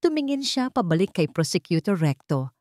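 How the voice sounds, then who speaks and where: Low-pitched woman narrating drama, dry sound. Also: big pitch swings, moderate pace, a little mellow, dry recording. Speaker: storyteller.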